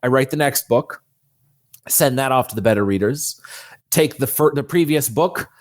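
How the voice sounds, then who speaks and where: A man's voice: medium pitch, moderate pace, dry recording. A man speaking as a guest author in a conversational interview, explaining things earnestly.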